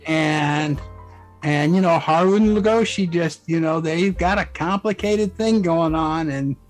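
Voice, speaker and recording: A man being interviewed, chatting casually as a guest and explaining things in a halting, self-interrupting way. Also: a little high. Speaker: interview guest, fan fiction author and musician. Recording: music playing behind the voice. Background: music.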